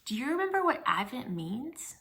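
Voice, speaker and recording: Bright, creaky-edged woman reading to kids. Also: high-pitched, sing-song, normal speed. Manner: simple explanatory phrasing with questions to young listeners. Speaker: children's ministry director reading a Bible story to kids. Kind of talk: storytime read-aloud and talk addressed to young children.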